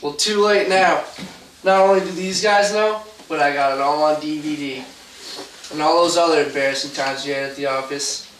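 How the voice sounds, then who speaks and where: A man's voice: on the high side, steady tone, moderate pace, a bit bright, natural voice. Man acting bitter fired worker, echoey and distant. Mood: mocking. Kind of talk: amateur one-act stage play. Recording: echoey, distant room with noise.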